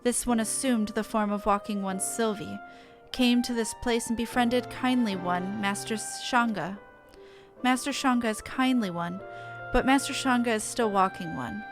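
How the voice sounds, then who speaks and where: A woman's voice: fairly high pitch, normal speed, music in the background, natural voice. A woman voicing an earnest forest sylph from a fantasy game, speaking in stilted, formal phrases. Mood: solemn, confessional.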